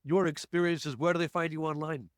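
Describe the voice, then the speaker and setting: An American man hosting a podcast interview over a remote call, chatty and quick with jokey asides. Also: a little high, steady tone, no room sound, studio quality. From United States. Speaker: podcast host. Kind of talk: casual interview chat.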